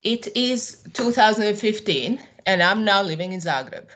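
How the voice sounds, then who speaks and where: A woman from Serbia with a Serbian accent, reading a prepared personal talk at a symposium in a measured, reflective way with occasional hesitations. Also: mid-range voice, lively intonation, fairly bright tone. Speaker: artist presenting at a symposium.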